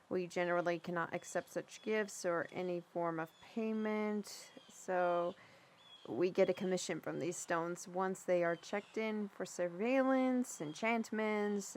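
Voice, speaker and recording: A woman playing a plain-spoken mentor in a fantasy audio drama, telling things in a folksy, measured way with dramatic emphasis. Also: mid-range voice, creaky, slight background noise. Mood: explanatory. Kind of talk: fantasy audio roleplay, storytelling.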